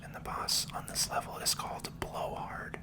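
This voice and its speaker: A person giving gentle, unhurried ASMR game commentary in a breathy whisper, explaining as they play. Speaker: gaming video host.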